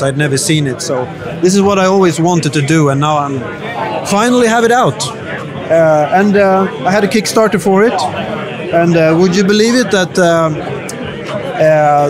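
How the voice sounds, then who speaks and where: A man with a slightly raised voice talks about his game at a crowded meetup. He rambles enthusiastically with lots of fillers, and clear crowd chatter fills the room behind him. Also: sits high. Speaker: indie game developer. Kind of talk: casual interview. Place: crowded indoor event room. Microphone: handheld mic.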